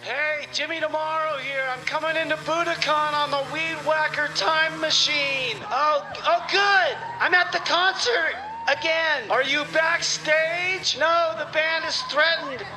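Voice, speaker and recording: An extremely high, excitable time traveler in a radio comedy sketch, with clear noise behind him. He is scatterbrained and eager, tripping over his own words. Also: measured pace. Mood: excited, eager.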